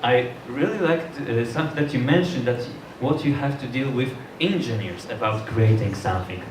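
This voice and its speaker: A man moderating a public talk, speaking English haltingly with many restarts and fillers. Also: mid-range voice. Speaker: event moderator. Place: lecture hall. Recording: distant mic in an echoey hall with noise.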